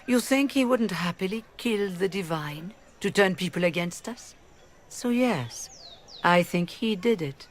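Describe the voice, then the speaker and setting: Casting: a composed, diplomatic mage leader, measured delivery, faint ambience behind. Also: female voice, on the low side, expressive, slight background noise. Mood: calm, persuasive. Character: poised mature female mage leader.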